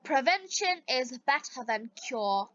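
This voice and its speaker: A woman tells a simple learners' story at an extremely slow pace, enunciating each word with care. Her voice is high and bright. The recording is dry and slightly lo-fi. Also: very animated, no room sound. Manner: deliberate, carefully enunciated. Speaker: narrator of English-learning stories.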